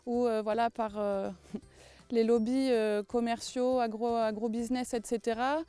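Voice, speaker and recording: A woman with a fairly high, soft-edged and very clear voice, speaking in a documentary interview. She explains her work articulately, with faint music underneath. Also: flat delivery, a little slow. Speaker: permaculture designer. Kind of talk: documentary interview, spontaneous speech.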